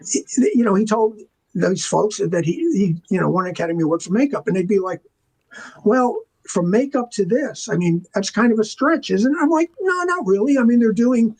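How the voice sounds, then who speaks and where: A man's voice: sits high, expressive, a little fast, slightly rough, low-quality recording. An older man telling casual anecdotes as an interview guest, acting out the dialogue in colloquial language. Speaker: interview guest, veteran visual effects artist.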